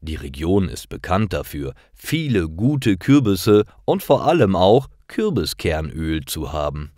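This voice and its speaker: A man narrating short scripted stories for language learners, with careful, deliberate enunciation. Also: deep voice, big pitch swings, a little mellow, no echo, studio quality.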